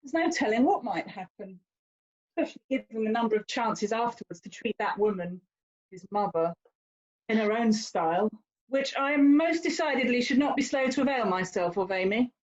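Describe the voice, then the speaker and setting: A woman's voice: fairly high pitch, lively intonation, normal speed, darkish tone, highs cut off, natural voice. A woman playing a haughty, worldly young lady in a period drama, with an emphatic, condescending, theatrical delivery. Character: haughty, worldly young English lady, teasing older sister. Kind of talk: stage-style period drama dialogue.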